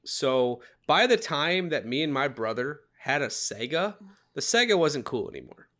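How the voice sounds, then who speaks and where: A man with a raspy voice co-hosting a film podcast, talking casually and acting out little shouted bits. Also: on the high side, moderate pace. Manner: lively, shouts bits in impressions. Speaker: podcast co-host. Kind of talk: casual chat.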